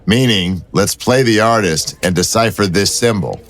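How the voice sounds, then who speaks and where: An actor playing a wry, persistent city detective who talks in dry, teasing banter. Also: male voice, lowish voice, moderate pace, fairly bright tone.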